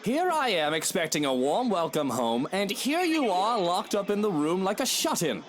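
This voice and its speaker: An actor playing a suave aristocratic husband in a visual novel, speaking formally with earnest, coaxing phrasing. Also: male voice, high-pitched, sing-song, normal speed, noisy background. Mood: teasing, theatrical. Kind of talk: scripted visual novel voice acting.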